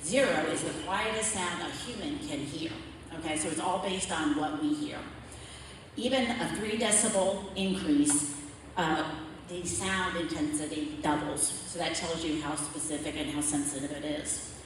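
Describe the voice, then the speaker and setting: A low-voiced woman walks a community forum through her slides, explaining step by step and checking in with the room. She sounds far from the mic, her voice carrying through a big, echoing hall with a long reverb tail. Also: normal speed, noisy background. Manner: plain explanatory, asks "okay?" to check in. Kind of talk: public presentation with slides. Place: large echoey meeting hall.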